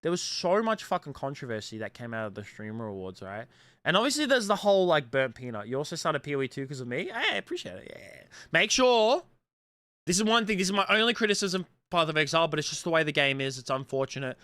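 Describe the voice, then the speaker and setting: A man's voice: a little high, big pitch swings, quick pace, bright, forceful tone, no room sound. A man in his late twenties with a mild Australian accent, chatting casually as a streamer and answering viewer comments in a blunt, profane way, with lots of fillers. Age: late 20s.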